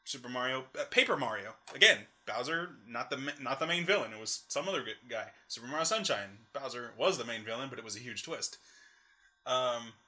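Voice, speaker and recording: A man's voice: medium pitch, animated, fairly quick, pushed and bright. A man co-hosting a podcast in casual chat, joking and irreverent as he gives his take. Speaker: podcast co-host.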